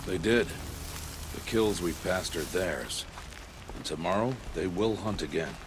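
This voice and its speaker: A man playing a stern, stoic middle-aged hunter and mentor in a video game, speaking in a grave, measured, solemn way. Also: background noise. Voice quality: very deep, weighty. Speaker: mentor and guardian. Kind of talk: dramatic video game acting. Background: prominent background music.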